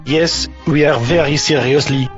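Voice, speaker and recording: A man's voice: fairly high pitch, fairly even intonation, measured pace, faint music behind, lightly acted. Man putting on a pompous policeman, dull recording. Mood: officious, stilted. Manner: stilted, officious, literal-minded. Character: bumbling, pompous French police officer puppet. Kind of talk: comic puppet show acting.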